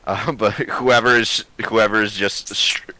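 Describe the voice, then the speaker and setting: A man's voice: fairly low pitch, fairly even intonation, measured pace, pushed and bright, dry recording, low-quality recording. A man co-hosting a livestream, joking around in a playful, casual chat.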